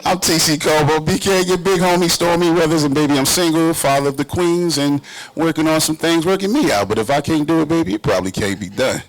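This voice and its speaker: A man on a live talk show panel, bantering casually with playful, smooth-talking swagger. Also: on the high side, fast, poor audio. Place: live event in a bar restaurant. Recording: faint music behind the voice.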